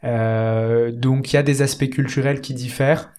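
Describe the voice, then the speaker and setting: A man from the French Alps talks as a guest in a casual podcast chat. His voice is mid-pitched and ordinary, and he speaks haltingly, full of pauses and fillers. He asks curious questions and thinks aloud. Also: fairly even intonation, darkish tone. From French Alps, France. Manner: hesitant, many fillers and restarts, curious. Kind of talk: casual conversation.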